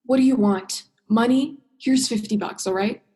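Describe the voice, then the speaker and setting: Woman acting an earnest, higher-voiced young woman. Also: moderate pace, mellow, close to an everyday voice. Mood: exasperated. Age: young adult. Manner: direct, emotional. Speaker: drama student actor. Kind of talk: radio play acting.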